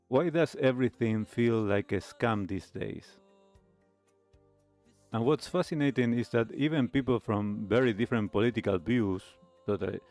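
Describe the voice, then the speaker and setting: A man's voice: on the low side, darkish tone, no room sound. A man hosting a talk show, giving reflective commentary. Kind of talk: reflective commentary on lifestyle videos.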